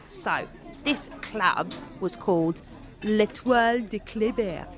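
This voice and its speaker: A woman's voice: on the low side, fairly bright tone. A brash, slangy streetwise Parisian woman. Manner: earthy, slangy, sardonic. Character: brash streetwise Parisian woman, Edith Piaf's bitter companion Simone. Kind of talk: audio drama monologue and scenes.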